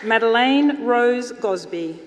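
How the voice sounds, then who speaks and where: A woman formally reading out a roll of names at a graduation ceremony, in a measured announcing manner. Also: on the high side, animated. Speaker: graduation ceremony name reader. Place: large ceremonial hall, on stage indoors. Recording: reverberant hall, loud applause and noise. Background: audience applause.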